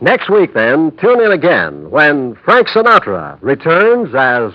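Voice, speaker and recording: Animated 1950s male radio announcer. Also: a little high, takes it slowly. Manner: formal, polished. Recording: dull 1950s radio recording.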